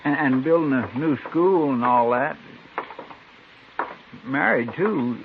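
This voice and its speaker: Folksy, creaky American western sidekick. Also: male voice, mid-range voice, takes it slowly. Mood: admiring. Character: folksy, talkative, loyal marshal's sidekick. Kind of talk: 1950s radio western acting. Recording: noisy, tinny old radio recording.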